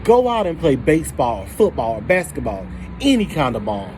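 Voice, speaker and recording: A man's voice: mid-range voice, huge pitch swings, moderate pace, darkish tone, slight background noise. A man voicing a bossy, scolding father from a children's storybook.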